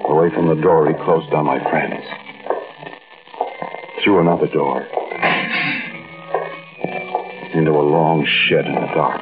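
An actor playing a frightened, hesitant young crook in a 1940s radio drama, wary and dramatic. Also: male voice, rumbling low, full character voice. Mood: haunted narration. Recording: dull old radio with clear hiss.

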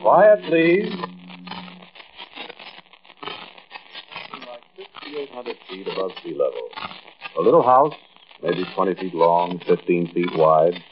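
An actor playing an intense, haunted American man who confides an eerie tale straight to the listener in a 1940s radio monologue, with emphatic pauses. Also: deep voice, sing-song. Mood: hushed, deliberate. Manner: conversational, addresses listener directly, emphatic pauses. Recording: muffled, noisy old radio recording.

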